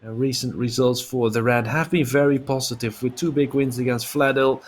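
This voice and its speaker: A man's voice: mid-range voice, fairly even intonation, moderate pace. A man giving live play-by-play commentary on a football video game as an esports commentator.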